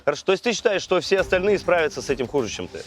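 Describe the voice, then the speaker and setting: A man's voice: sits high, sing-song, racing. A man hosting a reality show, presenting and briefing the contestants. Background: faint music.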